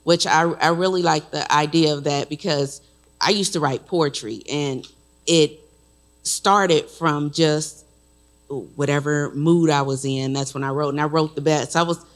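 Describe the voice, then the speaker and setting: A woman's voice: sits low, a little slow. Woman rambling through a personal audience comment. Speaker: audience member. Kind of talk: audience question at a panel.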